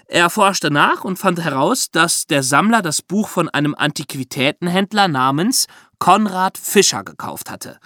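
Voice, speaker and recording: A man's voice: on the high side, bright, forceful tone, no echo, studio quality. A man hosting a podcast, telling a true-crime story in a colloquial, explanatory way. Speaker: podcast host.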